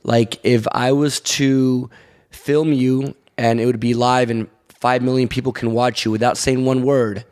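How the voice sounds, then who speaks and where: A man's voice: medium pitch, little pitch movement, measured pace, a little mellow. A man co-hosting a podcast talks bluntly and loosely, dropping curse words without a second thought. His manner is frank and conversational. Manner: blunt, swears casually. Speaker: podcast co-host.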